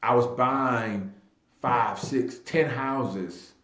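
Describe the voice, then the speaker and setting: An echoey room carries the voice of a thirty-year-old man with a middle-range pitch. He tells personal stories in a casual interview style. He leans on repeated phrases for emphasis and slips into quoted dialogue. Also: extremely slow, a bit bright. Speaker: real estate agent and investor being interviewed. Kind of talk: animated personal storytelling in casual talk.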